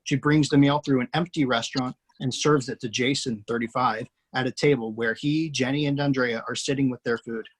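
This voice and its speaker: A man reading screenplay action lines aloud in a steady, matter-of-fact way. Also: mid-range voice, a little fast, few pauses, rough recording. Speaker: screenplay reader.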